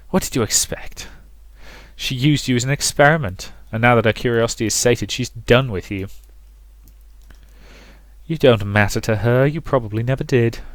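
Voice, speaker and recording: A man's voice: mid-range voice, no echo, lightly acted. A man voicing a cruel, possessive mother who is condescending, coaxing and manipulative in a visual novel.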